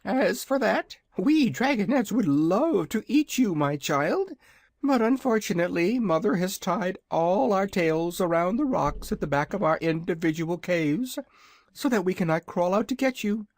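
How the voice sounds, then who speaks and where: A reader voicing a proud, hungry young dragon in a storybook, with a high, drawling, haughty manner. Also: animated, unhurried, darkish tone, no room sound. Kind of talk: audiobook character voice.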